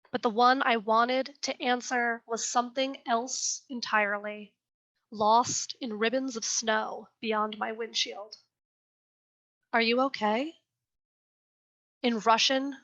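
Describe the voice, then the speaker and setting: A young woman reading her poem slowly and with care, then answering questions in an earnest, chatty way over a video call. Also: on the high side, steady tone, fairly bright tone. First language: English. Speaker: poet reading her work. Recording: dull lo-fi video call audio.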